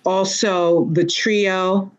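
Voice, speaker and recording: Slow-paced woman hosting a casual chat. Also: medium pitch, level tone, low-quality recording. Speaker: host of a panel discussion.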